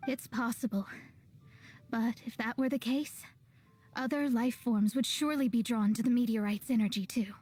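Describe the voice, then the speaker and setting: A young astrologer from an anime game, played by a woman, with a high voice. She sounds clever and practical, explaining plainly over faint background ambience. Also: moderate pace, darkish tone, slight background noise, natural voice. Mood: thoughtful. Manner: matter-of-fact, explanatory.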